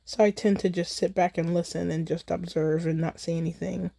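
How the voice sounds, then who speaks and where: An American woman chatting casually in a relaxed, rambling way as she hosts a crafting livestream, recorded on a phone in a holder. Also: lowish voice, a little fast, creaky, no room sound, clean studio sound. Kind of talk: casual chat while crafting.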